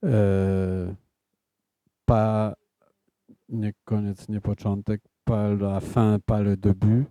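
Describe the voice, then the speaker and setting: A man with a Polish accent, an author answering audience questions in a halting way, often stopping to search for words. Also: fairly low pitch, little pitch movement, warm tone, clear, resonant tone, no room sound, studio quality. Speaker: guest author.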